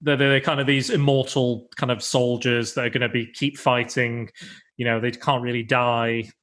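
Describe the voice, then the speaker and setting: A man's voice: medium pitch, level tone, moderate pace, a bit bright, slight background noise. Rambling man, casual film chat. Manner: rambling, many restarts and ums. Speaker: podcast co-host.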